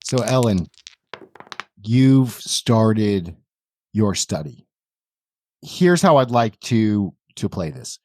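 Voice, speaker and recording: Male game master, low and mellow, unhurried, measured delivery with thoughtful halts. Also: very animated, dry recording. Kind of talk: tabletop game narration, improvised.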